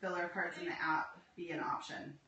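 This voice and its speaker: A woman off to the side reading out viewer questions in a brisk, matter-of-fact way. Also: fairly low pitch, little pitch movement, bright, forceful tone. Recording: distant, very echoey room with noise.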